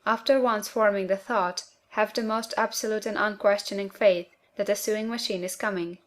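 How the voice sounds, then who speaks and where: A woman narrating a self-help audiobook in a measured, earnest way, articulating each phrase clearly. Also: mid-range voice, steady tone.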